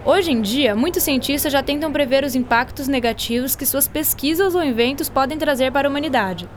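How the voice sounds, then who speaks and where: An actress playing a kindly, playful doctor in a radio drama, patiently explaining with a Brazilian accent. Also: female voice, high voice, fairly quick, a little hiss or hum, close to an everyday voice.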